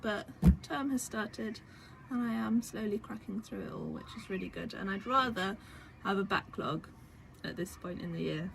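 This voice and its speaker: A young woman, croaky from a cold, chatting casually at home about secondhand items she resells, with lots of fillers. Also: medium pitch, moderate pace, few pauses, fairly bright tone, rough recording. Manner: chatty, rambling with many fillers. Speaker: vintage reseller vlogger. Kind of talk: casual chat. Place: home indoors. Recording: home recording with clear background noise.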